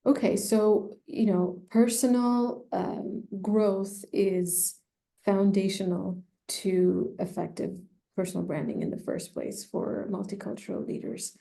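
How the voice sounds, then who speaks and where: A woman who specializes in personal branding, giving measured, thoughtful advice in an interview with frequent fillers. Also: medium pitch, fairly even intonation, gravelly, mellow, low-quality recording. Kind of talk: conversational expert advice.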